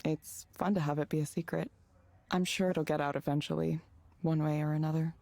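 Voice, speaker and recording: A woman's voice: low voice, steady tone, fairly quick, vocal fry, mellow, no echo. An actress playing a reserved, subdued woman in a visual novel game, speaking hesitantly and naturalistically. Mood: sheepish. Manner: hesitant, reticent. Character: reserved, subdued woman working as a proxy counselor.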